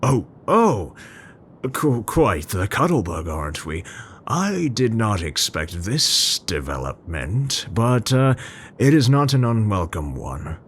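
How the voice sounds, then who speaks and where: A man acts out an awkward, tender older scientist in an ASMR roleplay. His voice sits low and moves slowly, with halting, formal musings that rise and fall expressively. A faint rumbling noise lies behind him. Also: very animated. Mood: bashful, tender. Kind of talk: ASMR roleplay, intimate scripted monologue to listener.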